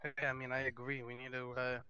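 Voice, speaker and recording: A man roleplaying a seasoned mobster in an online crime game, talking plainly and haltingly over dull game voice chat. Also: medium pitch, completely flat delivery, crowd noise, close to an everyday voice. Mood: matter-of-fact. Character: seasoned male mobster weighing crew business.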